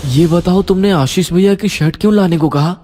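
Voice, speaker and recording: A man's voice: sits high, fairly quick, dark tone. A man playing a moody, bewildered young man in an acted horror story, dramatic and brooding. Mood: curious. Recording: long hall reverb over music.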